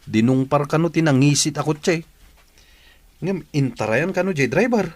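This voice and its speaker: A man's voice: fairly high pitch, animated, moderate pace, no echo. A man playing a chatty, hospitable village buddy in an Ilocano comedy radio drama, with an Ilocano accent. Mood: gossipy, concerned.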